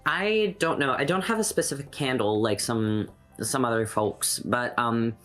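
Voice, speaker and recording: Mid-pitched man chatting casually. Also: unhurried, a bit bright. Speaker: cast member. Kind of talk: casual Q&A chat. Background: background music.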